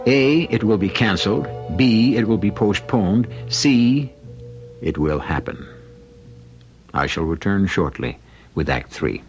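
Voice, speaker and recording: A man performing as a grave, wry storyteller of a radio mystery, narrating with measured, dramatic pauses. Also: lowish voice, lively intonation. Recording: muffled vintage radio recording, music behind.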